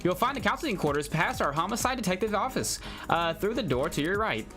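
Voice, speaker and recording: Man voicing a polite, higher-pitched robotic receptionist. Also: very animated, fast talker, bright, forceful tone, faint music behind, heavily acted. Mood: flatly helpful. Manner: formal, scripted customer-service politeness. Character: polite, humorless female AI police receptionist. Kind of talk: visual novel game read-aloud.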